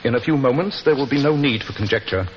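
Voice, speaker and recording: Radio play sleuth, male actor: British, commanding, precise, mid-pitched, in a hissy, reverberant vintage recording. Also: fast, muffled recording, lightly acted. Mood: intent, reasoning. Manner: precise, confident, deductive. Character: incisive, commanding male gentleman detective. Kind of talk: 1940s radio acting.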